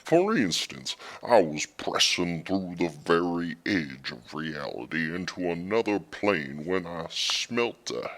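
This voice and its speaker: A man performs an earnest adventurer-mage interviewed on a parody radio show. He tells tales in a measured, unhurried way, with a deep voice that has some gravel in it. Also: a bit bright, full character voice. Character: male planeswalker, weather-magic expert and adventurer-author.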